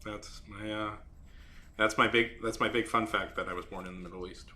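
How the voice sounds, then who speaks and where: Young guy streaming while he draws, lots of uh and um, bright forceful voice, lowish. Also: steady tone, slight background noise. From Saudi Arabia. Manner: rambling, halting, with many fillers and restarts. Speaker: artist streaming while drawing and chatting. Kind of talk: casual live-stream chat.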